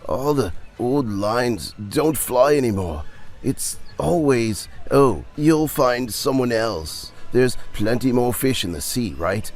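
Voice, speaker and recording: A man's voice: mid-range voice, animated, slow pace. A man voicing a lonely, self-blaming man at a bar, wistful and exasperated, in an audio story. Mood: bitter, exasperated.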